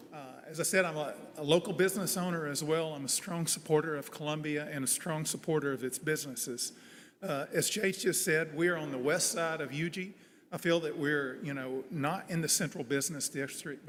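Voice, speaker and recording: A man's voice: fairly high pitch, fairly bright tone. A man giving public comment at a hearing as a local business owner. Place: large meeting room. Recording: echoey hall, some background noise.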